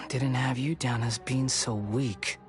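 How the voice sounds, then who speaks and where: An actor playing a smug young male villain in a video game, mocking, theatrical and condescending. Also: medium pitch. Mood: dismissive, taunting. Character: smug, sneering young male villain, a high-ranking harbinger. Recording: music behind.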